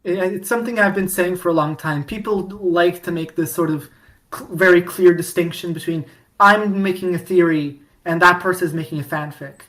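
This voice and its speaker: A woman's voice: fairly low pitch, normal speed, fairly bright tone. A woman co-hosting a podcast, chatting casually and eagerly, rambling with many restarts and fillers. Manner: enthusiastic and pleading. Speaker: podcast co-host. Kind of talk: casual fandom chat.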